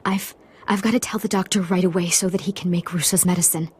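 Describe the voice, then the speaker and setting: A woman playing a worried widowed mother in a video game, speaking politely and softly with some hesitation. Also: on the low side, fairly even intonation, fast talker, breathy edge. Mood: overwhelmed, grateful. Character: worried widowed mother, reserved but grateful. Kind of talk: dramatic video game acting.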